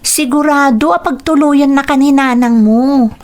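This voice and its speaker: A woman's voice: sits high, measured pace. A woman acting a kind, encouraging teenage schoolgirl in an Ilocano radio drama, with an Ilocano accent.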